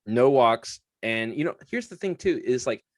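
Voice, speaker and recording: A man's voice: mid-range voice, lively intonation, moderate pace, dry recording, studio quality. A young man co-hosting a casual sports podcast, chatty and laughing often as he talks. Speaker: podcast co-host.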